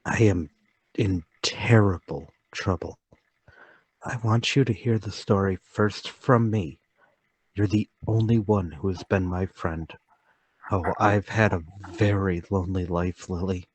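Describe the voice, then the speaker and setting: A man performing as a haunted, timid man pours out a confession in radio-drama style. His low voice is halting and intense, pleading to be heard, and it sits dry with little room around it. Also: darkish tone, slightly rough, no room sound. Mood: self-pitying. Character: timid, troubled lonely man confessing to murder, trembling. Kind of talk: dramatic radio-play table read.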